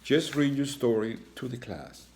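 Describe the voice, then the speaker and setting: Man playing stern, pompous animal principal, raised pitch. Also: expressive, a little mellow, slight background noise, lightly acted. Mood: impatient, commanding. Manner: commanding, brisk. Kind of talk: children's storybook read-aloud.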